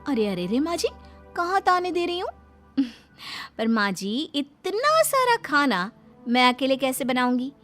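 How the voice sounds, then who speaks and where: Woman as young indian bahu, high voice, pleading n fed up, storybook drama, bit of music behind. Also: sing-song, a little slow, a bit bright, exaggerated voice. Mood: hesitant, questioning. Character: put-upon young daughter-in-law, pleading, quietly exasperated. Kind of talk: dramatized Hindi moral story voice acting.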